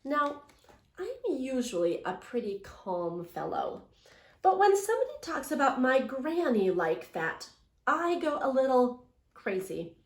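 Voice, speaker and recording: A high-pitched woman reads a storybook aloud as a teacher would for young learners. Her delivery is unhurried and dramatic, with stretched words and questions to listeners. The room adds a noticeable echo. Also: big pitch swings. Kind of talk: expressive storybook read-aloud with asides. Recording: echoey room.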